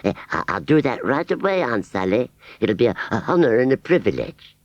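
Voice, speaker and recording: A man's voice: mid-range voice, very animated, brisk, no echo, dull audio, pitched above the performer's own voice, natural voice. A man reading a storybook and voicing a simple-minded, humble male scarecrow with a broad rustic drawl and hesitant ums. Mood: meek.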